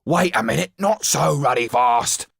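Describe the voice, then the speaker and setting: A man voicing a rough, bullying, grumbling English jailer in an audiobook, with coarse, threatening, slangy speech. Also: fairly high pitch, expressive, a little slow, fairly bright tone, no room sound, clean studio sound, pitched above the performer's own voice, exaggerated voice. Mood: furious. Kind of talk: acted audiobook dialogue.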